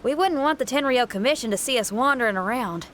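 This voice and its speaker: A woman's voice: on the high side, expressive, quick pace, heavy background noise, close to an everyday voice. A woman playing a spirited, loyal young female companion in a video game, with casual, folksy phrasing and a wry manner. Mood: wry, practical. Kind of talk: animated game voice acting.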